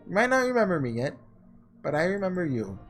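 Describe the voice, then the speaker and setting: A man's voice: high-pitched, big pitch swings, fast, faint music behind, voice pushed up. Man voicing a polite, mysterious girl, lilting. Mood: calm, coaxing. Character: mysterious, graceful, politely teasing purple-haired girl.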